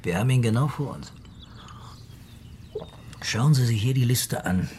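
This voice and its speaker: An actor playing a brisk, probing police commissioner in a radio crime drama, speaking in terse questions. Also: male voice, mid-range voice, darkish tone, slight background noise, natural voice. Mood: musing, focused.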